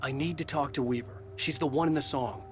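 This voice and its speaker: An actor playing an intense writer hero in a video game, speaking in a terse, serious, dry way. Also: male voice, mid-range voice, brisk, soft background music, lightly acted. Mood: grim, determined. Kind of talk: video game cutscene acting.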